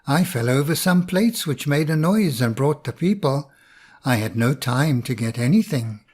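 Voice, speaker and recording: A man voicing a sly, scheming male fox in a storybook reading, smooth and persuasive. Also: mid-range voice, animated, moderate pace, a little mellow.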